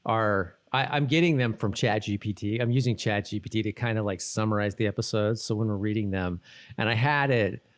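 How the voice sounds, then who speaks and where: A man hosting a podcast in casual chat, talking in a halting, rambling way and often correcting himself. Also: fairly low pitch. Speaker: podcast host.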